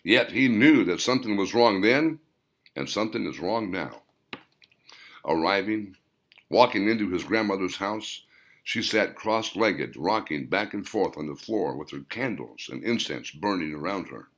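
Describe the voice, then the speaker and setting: A man narrating his own novel as an audiobook, telling it expressively. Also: measured pace. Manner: expressive storytelling with wide pitch swings. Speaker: author and narrator.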